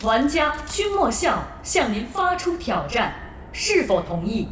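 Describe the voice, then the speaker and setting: A woman voicing an online game's system announcer, giving formal, measured notifications in an audio drama. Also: on the high side, very animated, very noisy. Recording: roomy echo, very loud music behind.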